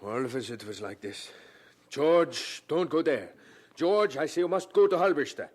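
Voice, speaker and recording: A defiant young son in a radio drama. His voice is slightly high and husky, swinging widely in pitch. He broods, then flares up with feeling. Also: expressive, a little slow. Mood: bitter. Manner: brooding, foreign-tinged phrasing, emotional outbursts. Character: earnest, defiant young German student, a son.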